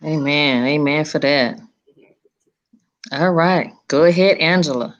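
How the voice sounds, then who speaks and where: A woman with a slightly low voice hosts a casual interview show. She talks in a chatty way and often repeats a phrase back as an affirmation. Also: slow, dull audio. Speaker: talk show host.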